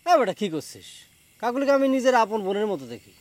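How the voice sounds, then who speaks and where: Animated, high, sheepish young bachelor. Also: male voice, fast talker, no echo. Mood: defensive, sheepish. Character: sheepish, evasive young bachelor villager, protesting his innocence. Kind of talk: Bengali TV comedy drama acting.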